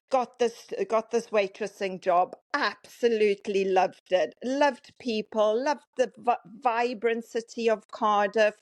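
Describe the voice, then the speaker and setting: Fifties woman, South African accent with a Welsh twang; chatty, animated guest storyteller, dry close mic. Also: fairly high pitch, dry recording. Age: 50s. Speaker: podcast guest. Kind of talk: casual interview chat.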